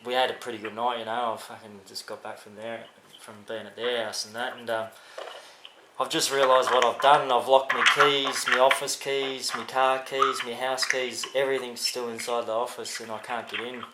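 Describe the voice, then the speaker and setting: A man's voice: mid-range voice, little pitch movement, normal speed. An Australian man chatting casually on a phone call, matey and rambling in his manner. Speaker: prank caller. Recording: roomy, faintly noisy, echoing phone-call recording.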